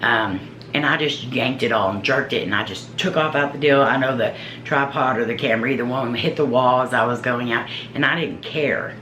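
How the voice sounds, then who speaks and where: A woman with a deep, low voice, a paranormal investigator telling her own story in a casual, earnest, halting way. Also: a bit bright, slight background noise. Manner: earnest, halting, searching for words. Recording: roomy echo.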